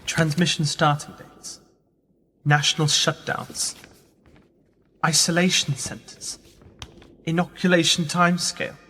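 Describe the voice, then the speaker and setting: An actor playing a wary, sceptical younger journalist in a radio play, speaking in a terse, dry, questioning way. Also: male voice, a little high, a bit bright. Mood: reading, grave. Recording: reverberant hall, noticeable background noise.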